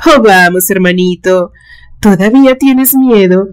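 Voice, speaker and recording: A woman's voice: mid-range voice, unhurried, smooth, lightly acted. A mischievous young sister , as a woman narrator plays her in a suspense storybook. She is mocking and theatrical, teasing with relish and stretching words for suspense.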